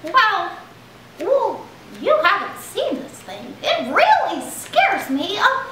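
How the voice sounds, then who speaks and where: A squeaky, jumpy little girl puppet. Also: huge pitch swings, reverberant, a loud crowd, heavily acted, low-quality recording. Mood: fretful. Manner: breathless, dramatic, quick to panic.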